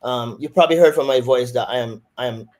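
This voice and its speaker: Male surgeon, Barbadian accent, mid-pitched, thoughtful interview answers. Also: quick pace, darkish tone. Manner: thoughtful, with frequent restarts and fillers.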